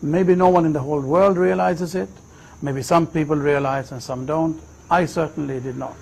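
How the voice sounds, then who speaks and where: A man in his fifties from Sweden, telling his personal story in a reflective, measured way. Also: on the high side, steady tone, vocal fry, darkish tone. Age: 50s. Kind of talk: personal testimony, unhurried storytelling.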